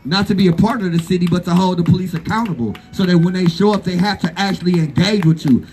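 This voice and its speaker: Man, thirties, high voice, impassioned rally speech, blunt, profane, repetitive emphasis. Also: a little mellow, a little hiss or hum. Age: 30s. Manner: blunt, profane, fiery, repeats phrases for emphasis. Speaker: activist speaker at a rally. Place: outdoor gathering.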